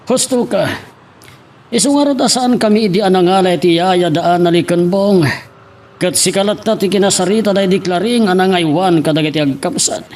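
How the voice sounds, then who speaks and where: A man's voice: high voice, normal speed, a little mellow, close to an everyday voice. A man with a Filipino accent playing a caring older brother and family elder in an audio drama. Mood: reflective, concerned. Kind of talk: Ilocano radio-style drama acting.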